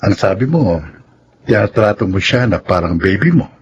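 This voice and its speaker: An actor in a Filipino radio drama playing a reasonable, reassuring psychiatrist advising a couple. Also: male voice, fairly low pitch, very animated, moderate pace. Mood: measured, reasoning. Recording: dull sound with faint noise.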